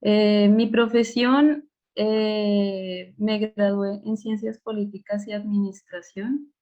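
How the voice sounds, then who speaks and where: A woman, a Spanish teacher, introducing herself calmly on a video call with filler pauses. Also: mid-range voice. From Colombia. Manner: calm, unhurried, filler pauses. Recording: dull lo-fi call audio.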